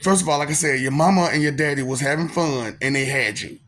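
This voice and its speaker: A man in his thirties speaks in a soft, polite-sounding voice, pitched a little higher than most men. He tells a personal story in a laid-back, slangy way, circling back with fillers and breaking into laughs along the way. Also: fast talker, a little hiss or hum. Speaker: vlogger telling a personal story. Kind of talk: casual storytelling monologue.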